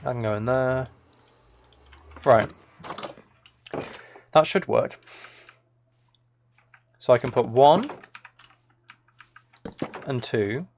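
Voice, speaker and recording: A man's voice: medium pitch, lively intonation, extremely slow, long pauses, a little mellow. A man livestreaming a game with casual commentary, muttering to himself in a mildly exasperated way. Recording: keyboard typing and clicking noise. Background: keyboard and mouse clicks.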